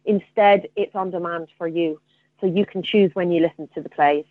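A woman's voice: lowish voice, steady tone, normal speed, no echo. Woman on a tinny phone line. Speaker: interview guest, theatre artistic director. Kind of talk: conversational interview.

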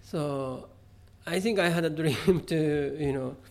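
A middle-aged man from Japan with a Japanese accent, answering interview questions about his art in a halting way, with many fillers and restarts. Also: fairly high pitch, a little mellow. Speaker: visual artist, interview guest. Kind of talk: conversational interview.

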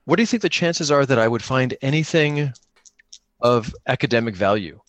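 A man with a mid-pitched voice plays a tabletop game, thinking aloud in a casual, conversational way. He makes small self-deprecating asides. The sound is close and dry, with no room on it. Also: animated, normal speed, dry recording. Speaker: podcast player improvising a solo tabletop game.

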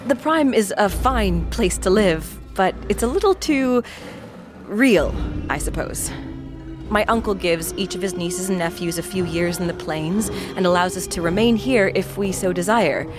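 A woman's voice: mid-range voice, measured pace, natural voice. A woman playing an inquisitive, articulate young visitor with a wry, emphatic manner in a fantasy audio drama, with music behind. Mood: thoughtful.

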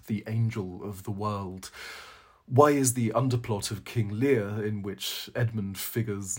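A man reading a brooding, ironic young intellectual from a novel, musing in clipped, declamatory phrases with a husky voice. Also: fairly low pitch.

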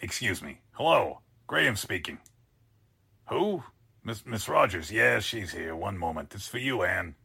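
An actor playing a sarcastic, blustering newspaper editor in a 1940s-style radio drama, with a husky voice. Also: male voice, on the low side, animated, measured pace, sharp tone, heavily acted. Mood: businesslike. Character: exasperated, sarcastic male newspaper editor boss.

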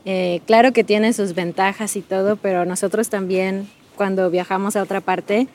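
A woman's voice: medium pitch, fairly even intonation, normal speed, a little mellow. A woman hosting an interview, responding with engaged comments and follow-up questions. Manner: engaged, frequent affirmations. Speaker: host. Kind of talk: casual interview chat.

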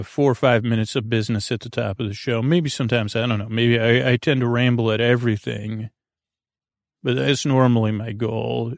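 A man with a creaky voice hosting a bedtime podcast, speaking in a lulling, gentle, meandering way, hesitating and drifting into tangents. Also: medium pitch, no echo, studio quality. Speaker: host of a bedtime story podcast. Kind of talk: rambling, soothing bedtime monologue.

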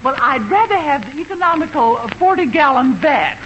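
An actress in a 1950s radio comedy playing an excitable, smitten, wisecracking woman friend, exclamatory and swooning. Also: high-pitched, normal speed. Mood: smitten, comic. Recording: very noisy, muffled old radio recording.

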